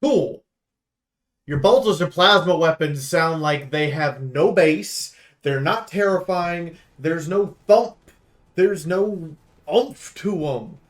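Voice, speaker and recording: High-voiced man, emphatic gaming commentator. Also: animated, takes it slowly. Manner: emphatic, sweary, rambling. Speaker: YouTube host and game reviewer. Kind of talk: casual, opinionated game preview commentary.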